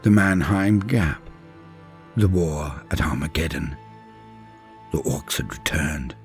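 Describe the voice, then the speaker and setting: A man playing a grave, embittered space-marine veteran, solemnly narrating a dramatic war story in a husky voice with deliberate, heavy pauses. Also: rumbling low, animated, soft background music, voice pushed down, exaggerated voice. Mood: grave, bitter. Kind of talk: dramatic scripted first-person story narration.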